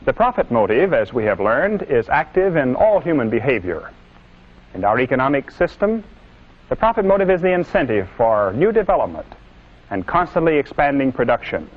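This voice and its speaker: A man's voice: medium pitch, very animated, moderate pace. An American man delivering an earnest, didactic scripted lecture in the manner of a 1950s propaganda film, with emphatic oratory. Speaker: presenter lecturing on citizenship. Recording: dull lo-fi 1950s film soundtrack.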